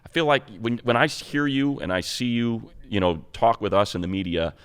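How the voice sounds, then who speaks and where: A man's voice: mid-range voice, moderate pace, smooth. An American man interviewing conversationally as a sports podcast co-host, wordy, rephrasing his questions as he goes. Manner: wordy, rephrases questions, voices imagined quotes.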